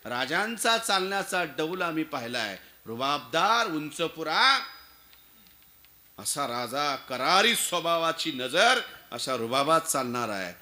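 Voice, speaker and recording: A man's voice: fairly high pitch, big pitch swings, takes it slowly, bright, forceful tone, full character voice. A man voicing a shrewd, observant envoy in a historical tale, explaining point by point. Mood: assured, exacting. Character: shrewd, observant envoy of a khan, methodically listing mistakes.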